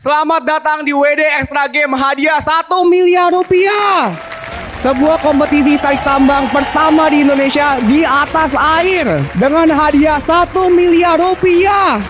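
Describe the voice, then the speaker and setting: A man hosting a game show, energetic and slangy, calling out contestants loudly. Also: very high voice, measured pace, a crowd in the background. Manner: hyped, slangy, calls out names loudly. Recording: music under the voice. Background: music.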